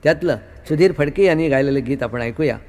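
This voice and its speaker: Guy doing scripted radio host narration, mid pitch, formal and literary wording. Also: fast talker, a little mellow. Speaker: radio show presenter and music composer.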